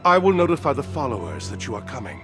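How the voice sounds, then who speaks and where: A man's voice: mid-range voice, very animated, quick pace. An actor playing a devout male zealot in a video game, speaking with earnest reverence. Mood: reverent, grateful. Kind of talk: video game cutscene voice acting. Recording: loud music under the voice.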